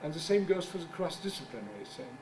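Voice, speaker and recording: A middle-aged man whose first language is German gives a conference talk as a journal editor. He speaks English haltingly, searches for words, and makes wry asides. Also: a little high, very animated, darkish tone. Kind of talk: conference presentation. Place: conference room. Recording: distant mic with background noise.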